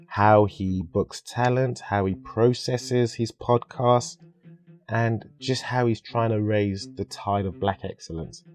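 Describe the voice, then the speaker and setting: A man's voice: fairly low pitch, darkish tone. A British man hosting a podcast in a casual, jokey, chatty way. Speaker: podcast host.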